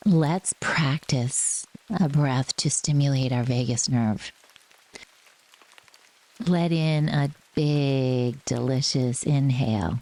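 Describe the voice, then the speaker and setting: A woman guiding a relaxation meditation in a gentle, soothing manner, speaking in short phrases with long pauses. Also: rumbling low, rough recording. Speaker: yoga nidra guide. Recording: steady rain noise behind the voice. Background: rain ambience.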